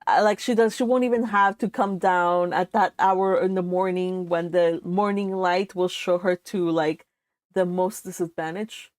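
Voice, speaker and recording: A Californian woman hosting a read-along, reading a novel aloud and chatting about it in an informal, animated manner. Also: on the low side, measured pace, dry recording, clean studio sound. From California, United States. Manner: animated, informal, exclaiming asides. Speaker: host of a live read-along.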